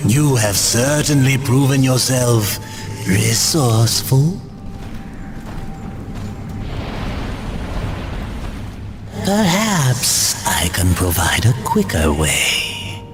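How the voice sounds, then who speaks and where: A man voicing a sly, greedy demon merchant in a video game, gravelly, theatrical and mock-courteous. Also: medium pitch, sing-song, slow. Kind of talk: video game cutscene acting. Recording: music under the voice.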